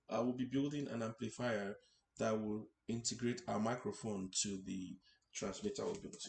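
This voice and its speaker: A man teaching a hands-on technical tutorial in an informal way, haltingly, often repeating and rephrasing his words. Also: mid-range voice, vocal fry. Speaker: instructor hosting an electronics tutorial series. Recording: echoey room, faint background noise.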